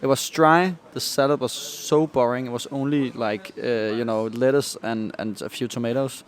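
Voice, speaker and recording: A man's voice: medium pitch, steady tone, moderate pace. A young man chatting casually as a podcast co-host, giving frank, critical reviews. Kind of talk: casual chat.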